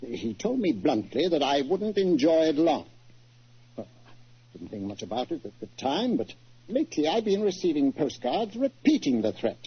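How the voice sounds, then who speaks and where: Blustery worried british sir, highish husky voice, gruff and hemming, old radio play, muffled. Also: male voice, sing-song, moderate pace, full character voice. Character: blustering, worried titled English gentleman art collector. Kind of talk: 1940s radio acting.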